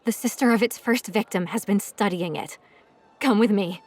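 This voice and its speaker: A woman's voice: medium pitch, normal speed, darkish tone, lightly acted. A woman playing a deferential, easily flustered villager in an audio drama, apologetic and eager to please. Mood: businesslike.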